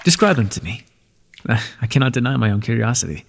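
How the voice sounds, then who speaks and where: A man voicing an ancient god of the underworld in an ASMR roleplay, speaking in a soft, breathy, measured way and reassuring the listener tenderly. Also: medium pitch, big pitch swings, long reverb tail, slight background noise. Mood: gentle, soothing.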